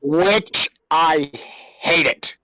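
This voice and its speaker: A man's voice: on the high side, very slow, bright, forceful tone, dry recording. A man co-hosting a podcast, giving his opinions in casual chat. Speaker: podcast co-host. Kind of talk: casual wrestling recap chat.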